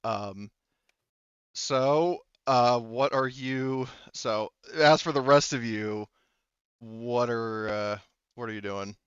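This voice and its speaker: A man's voice: mid-range voice, slow pace, clean tone, dry recording, muffled recording. A man working as game master, narrating a tabletop roleplaying game off the cuff in a casual, profane way.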